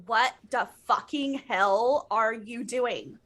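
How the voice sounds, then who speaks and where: A woman's voice: fairly high pitch, slow pace, a bit bright. A woman voicing an angry, sarcastic teenage goth girl in an exaggerated, indignant comedic dramatic reading. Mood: furious.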